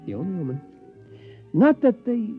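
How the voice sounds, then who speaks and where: An actor playing a folksy, rambling, lonely security guard in a 1950s radio drama, muffled old recording. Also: male voice, high-pitched, big pitch swings, soft background music. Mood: hesitant, concerned. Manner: folksy, halting.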